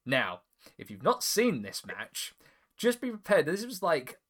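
A man's voice: a little high, wildly swooping pitch, unhurried, a bit bright. A man with an English estuary accent, hosting a podcast in casual chat, talking loosely with frequent laughs and restarts. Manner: chatty, laughs often, stumbles and restarts. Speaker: podcast host.

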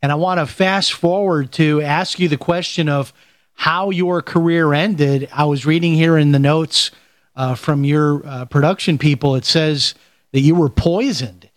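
A man's voice: on the high side, steady tone, a little slow, dry recording. A man hosting a radio show, interviewing a guest and prompting him with questions. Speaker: radio host.

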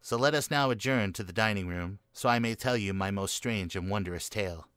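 A man's voice: lowish voice, fairly quick, fairly bright tone, close to an everyday voice. A man playing a morose, melodramatic boy in a comic gothic radio play, speaking in florid, solemn storytelling fashion. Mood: solemn, grandiose. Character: morose, melodramatic boy telling a doom-laden family curse tale.

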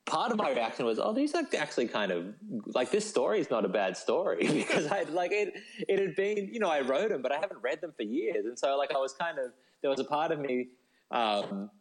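A man's voice: a little high, very animated, a little fast. A man talking casually as an interview guest, enthusiastic and rambling, with lots of informal "like". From Australia. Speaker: novelist being interviewed as a guest.

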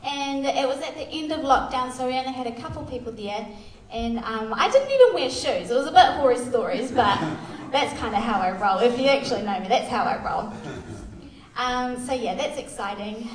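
A woman's voice: fairly high pitch, animated, normal speed. A woman, giving a candid, self-deprecating church testimony full of fillers. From Australia. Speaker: youth worker sharing testimony. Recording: echoey hall, voice far from mic.

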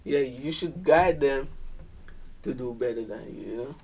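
A man's voice: medium pitch, unhurried, a little mellow, distant mic, slight background noise. A man with a thick Jamaican accent, a dancehall musician talking casually as a guest, leaning on "man" and "you feel me". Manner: laid-back, peppers talk with "man" and "you feel me". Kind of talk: casual interview chat.

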